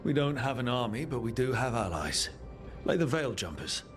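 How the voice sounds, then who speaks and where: A man's voice: medium pitch, sing-song, moderate pace, faint music behind, close to an everyday voice. A man playing an earnest, resolute young leader of heroes in a fantasy game. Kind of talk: dramatic video game acting.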